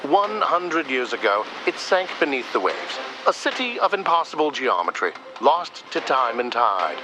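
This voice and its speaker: A man's voice: medium pitch, big pitch swings, normal speed, music in the background, lightly acted, poor audio. A man playing a portentous, promotional announcer in a dramatic radio advertisement for an epic expedition. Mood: grand, enticing. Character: grandiose announcer pitching an epic deep-sea expedition broadcast.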